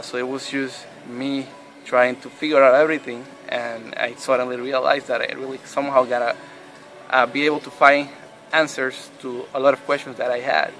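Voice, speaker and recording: A man in his thirties from Puerto Rico, telling his faith story in an interview with many pauses and restarts. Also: medium pitch, sharp tone, rough recording. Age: 30s. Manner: hesitant, many restarts and fillers. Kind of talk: personal testimony interview.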